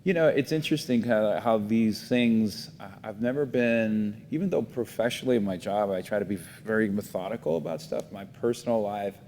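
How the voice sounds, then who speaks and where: Man, middle-aged, from Miami, low voice, reflective, many fillers, echoey room. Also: normal speed, hardly any pauses, a little mellow. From Miami, United States. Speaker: guest, foundation CEO. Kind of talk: casual interview talk. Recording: roomy reverb, faint rumble.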